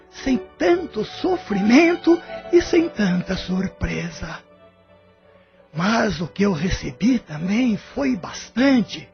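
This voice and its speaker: A Brazilian man playing the solemn, loving spirit of a dead father in an audio drama, speaking formally and with measured care. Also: high voice, gravelly, exaggerated voice. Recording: dull lo-fi audio with music underneath. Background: music.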